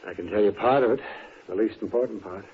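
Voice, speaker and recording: An American actor playing a weary old frontier town doctor in a Western radio drama, hesitant and guarded in a folksy way. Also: male voice, on the low side, fast, close to an everyday voice. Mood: reluctant explaining. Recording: tinny old radio recording with hiss.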